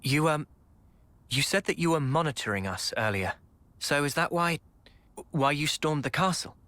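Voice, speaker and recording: A man's voice: a little high, expressive, normal speed, bright, forceful tone, no room sound. A man playing a kind, earnest young hero in a game, sincere and a little hesitant.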